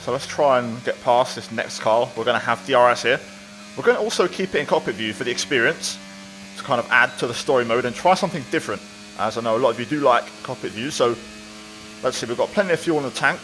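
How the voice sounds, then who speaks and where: A man's voice: mid-range voice, lively intonation, fast, a bit bright, a little hiss or hum, poor audio. Man commentating casually over his video game. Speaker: gaming video creator.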